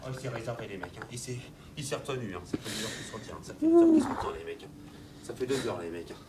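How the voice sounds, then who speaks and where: A young man vlogging from a moving car with a broken window, trading loud, laughing banter and complaining that he is worn out from the long drive. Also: mid-range voice, wildly swooping pitch, racing. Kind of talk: joking road-trip banter. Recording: loud road and wind noise.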